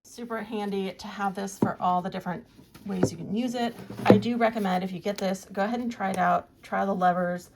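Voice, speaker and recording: Creaky-voiced woman giving a casual product demo. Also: medium pitch, steady tone, brisk. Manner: plain-spoken, explanatory. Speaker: product reviewer. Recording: clear background noise, handling clicks.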